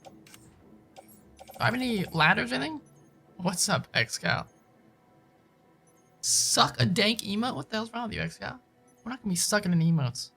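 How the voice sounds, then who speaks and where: A man's voice: high-pitched, expressive, normal speed, vocal fry, long pauses, sharp tone, slightly rough, soft background music. A man streaming a game, chatting casually with viewers in informal slang and commenting as he plays. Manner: informal, slangy, says dude and man often. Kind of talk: casual live-stream chat and gameplay commentary.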